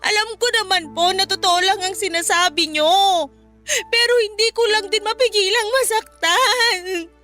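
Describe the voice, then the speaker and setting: A woman's voice: very high-pitched, normal speed, sharp tone, faint music behind. A woman acting as a hurt, emotional young wife pleading with her mother in a Filipino radio drama, with a Filipino accent. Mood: tearful, anxious. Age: 30s.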